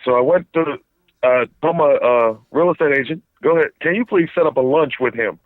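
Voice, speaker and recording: A man's voice: on the high side, a little fast, no echo. A man phoning in to a casual talk show, telling a rambling story and stumbling over his words. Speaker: phone-in guest. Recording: tinny phone line.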